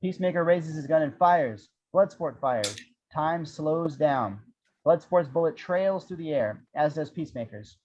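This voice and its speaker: A man with a fairly high voice reads screenplay action as narrator, tossing in wry, irreverent asides. The recording is dull and lo-fi, and the delivery is that of an amateur table read of an action script. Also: lively intonation, a little slow, darkish tone, a little hiss or hum. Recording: dull, lo-fi audio.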